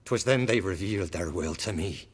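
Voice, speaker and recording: An actor playing a tormented, haunted jarl who speaks in solemn, archaic, fatalistic lines. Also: male voice, low-pitched, normal speed, breathy edge. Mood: grim, haunted. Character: tormented haunted old jarl, brooding and grim. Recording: long hall reverb.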